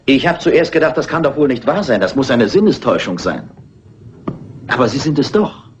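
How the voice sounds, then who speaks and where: An actor playing an exasperated, reproving and sarcastic police inspector in a dubbed crime film. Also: male voice, medium pitch, big pitch swings, a little fast, natural voice. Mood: sarcastic reproof. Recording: dull, noisy old film soundtrack.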